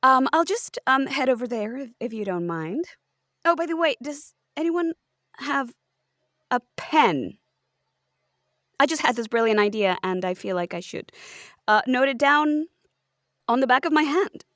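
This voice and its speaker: A woman's voice: high-pitched, animated, a little slow, pauses often, a bit bright, dry recording, clean studio sound. A woman playing a timid, indecisive, apologetic hospital patient in a comedic audio roleplay, hesitant, stammering and rambling. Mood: awkward, hopeful.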